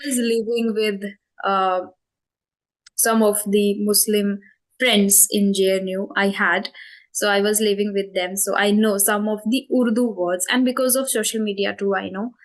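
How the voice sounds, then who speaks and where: A young Indian woman talks through her story in a conversational interview. Her English carries an Indian accent, and she pauses often on fillers and corrects herself mid-sentence. Her pitch and pace sit in the middle range. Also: fairly even intonation. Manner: thoughtful, many fillers and self-corrections. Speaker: spoken English channel host.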